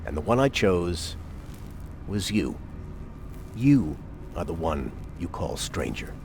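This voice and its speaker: A resolute military leader in a dramatic war game. His voice sits fairly low and moves with purpose, rising and falling around key words. He is solemn and measured, and speaks with command. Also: very animated, creaky, background noise, exaggerated voice. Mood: solemn, explaining. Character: grave, resolute male human resistance commander. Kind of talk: dramatic video game acting.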